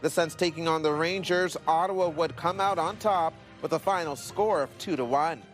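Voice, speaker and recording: A man's voice: fairly high pitch, normal speed, soft background music. A man anchoring a television newscast with scripted, presentational delivery. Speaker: news anchor.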